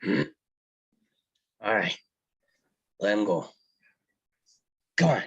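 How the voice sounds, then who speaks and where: A man plays a bullying police captain in a live script read. The voice is husky and dry, the manner gruff and blustering, barking out orders. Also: fairly low pitch, sing-song, drawn-out words, dry recording, lo-fi sound, heavily acted. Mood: grudging.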